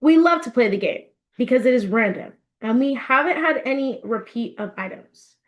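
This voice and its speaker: Higher-voiced woman reading a story aloud. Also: lively intonation, normal speed, lo-fi sound. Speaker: storyteller reading own book. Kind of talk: expressive storybook narration.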